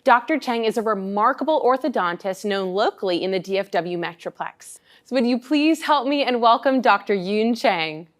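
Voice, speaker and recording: A young woman hosting a studio interview, her voice at an ordinary middle pitch. She keeps things upbeat with quick encouraging reactions and easy laughter, moving smoothly from one prompt to the next. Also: animated. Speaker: host. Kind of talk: studio talk show interview.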